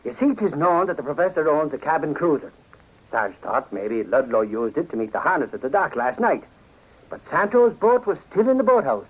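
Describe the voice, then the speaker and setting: An actor playing a hot-headed, boastful Irish reporter in 1940s radio style, blustery and folksy. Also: male voice, a little high, sing-song, brisk. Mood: chatty, confident. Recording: dull, lo-fi 1940s radio recording.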